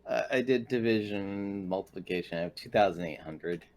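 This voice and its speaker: A man's voice: lowish voice, normal speed, a little mellow. A man playing a tabletop game, talking casually with the group over voice chat.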